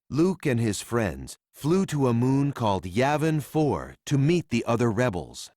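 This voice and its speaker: A man narrating a children's read-along story in a simple, deliberate storytelling manner for young readers. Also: mid-range voice, a little mellow, dry recording, studio quality.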